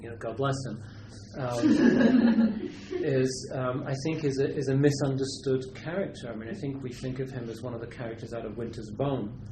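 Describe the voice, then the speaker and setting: A British-raised man answers seminar questions at a middle pitch, weighing each word and often restarting his sentences. The recording catches a roomy lecture space, with steady background noise behind him. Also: normal speed. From Britain. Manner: thoughtful, hesitant, many restarts and fillers. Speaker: lecturer and literary translator. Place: university seminar room.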